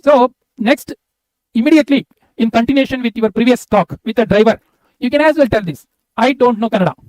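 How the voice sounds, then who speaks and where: A man's voice: extremely high pitch, a little fast, darkish tone, no room sound, rough recording. A man with an Indian accent teaching a language lesson, instructive and repetitive, breaking phrases down word by word. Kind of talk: spoken language lesson with slides.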